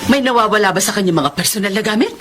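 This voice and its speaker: An actress playing a spiteful, domineering middle-aged mother with a scolding, sharp-tongued manner in a Filipino radio melodrama. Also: medium pitch, expressive, racing, loud music behind the voice, close to an everyday voice. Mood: suspicious, menacing. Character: domineering, spiteful, money-minded mother, quick to rage. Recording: loud ambient noise behind voice.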